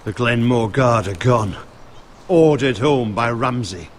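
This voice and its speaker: An actor playing a gruff, blunt, loyal castle soldier in a dramatic fantasy game. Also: male voice, mid-range voice, animated, slow, a little hiss or hum. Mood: frustrated, grim. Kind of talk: dramatic video game voice acting.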